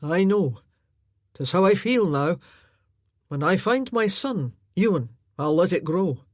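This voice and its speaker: A woman voicing a gentle, grieving Highland man in an audiobook, speaking kindly. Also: lively intonation, slow pace, no echo, clean studio sound. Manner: gentle and warm.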